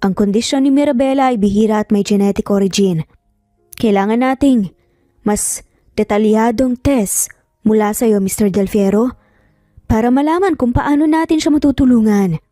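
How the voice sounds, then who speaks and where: A woman's voice: fairly high pitch, dark tone, no room sound, studio quality, lightly acted. A woman voicing a composed, formal doctor explaining treatment in an audio story.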